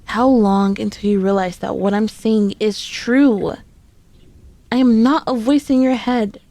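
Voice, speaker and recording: A woman's voice: medium pitch, fairly even intonation, a little slow, dark tone, no room sound, lightly acted. A woman playing a sassy, sneaky, possessive centuries-old female demon in an ASMR roleplay, speaking in a haughty, teasing, formally old-fashioned way. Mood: haughty, insistent. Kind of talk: ASMR roleplay, scripted character acting.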